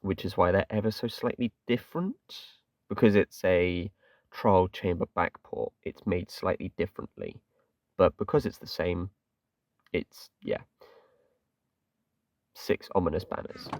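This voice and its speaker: A man's voice: low voice, lively intonation, a little slow, vocal fry, long pauses, a little mellow, no echo, distant mic. A man with an English accent chatting casually and a bit ramblingly as he talks through his game. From England. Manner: chatty, rambling, self-deprecating, lots of fillers like "kinda". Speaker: gaming channel host. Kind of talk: casual gameplay commentary.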